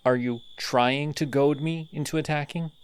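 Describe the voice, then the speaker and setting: A man voicing a sardonic male telepathic villain in an audio roleplay, mocking and deliberate. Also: on the high side, steady tone, clean tone, close to an everyday voice.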